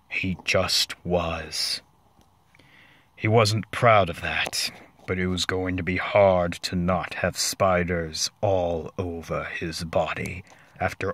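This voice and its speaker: A man narrating a comic story as a dramatic reading, deliberate and mock-serious, with dramatic pauses. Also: low voice, a bit bright.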